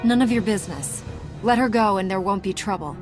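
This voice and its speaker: A woman's voice: medium pitch, a little fast, close to an everyday voice. A woman playing a commanding, level-headed heroine with dry wit in a video game. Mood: firm. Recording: music behind the voice.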